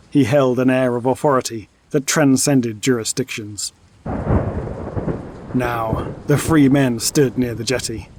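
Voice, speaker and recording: A man's voice: medium pitch, darkish tone, no echo. A man delivering scripted, dramatic narration for an audio story.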